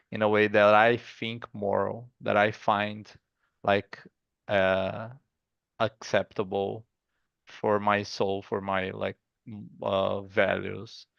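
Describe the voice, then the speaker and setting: A man's voice: on the low side, gravelly, no room sound, highs cut off. A man with a Brazilian accent, a guest in a casual interview, speaking thoughtfully with many fillers and restarts. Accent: Brazilian Portuguese. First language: Portuguese. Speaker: guest artist.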